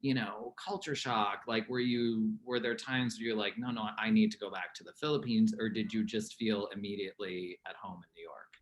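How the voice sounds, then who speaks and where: A man's voice: on the low side, fairly even intonation, normal speed, few pauses. A man hosting a casual interview as an artistic director, chatty and playful, laughing as he asks questions.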